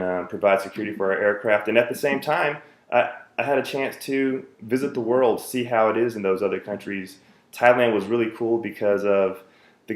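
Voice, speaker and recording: A man's voice: on the low side, echoey room. A man in his thirties, from the United States, giving an earnest self-introduction speech for a class, with many fillers and restarts.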